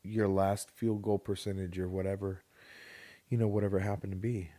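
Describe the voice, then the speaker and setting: A man co-hosting a podcast in casual chat, opinionated and thinking out loud with fillers. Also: deep voice, flat delivery, brisk, no room sound. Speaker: podcast co-host.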